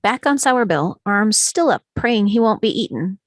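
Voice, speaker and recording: A woman's voice: medium pitch, expressive, normal speed, no room sound, clean studio sound. A woman reading stage directions as the narrator of a screenplay table read.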